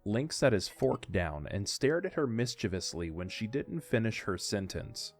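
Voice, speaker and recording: A man's voice: fairly low pitch, sing-song, unhurried. A man narrating a scripted audio drama, with music behind his voice.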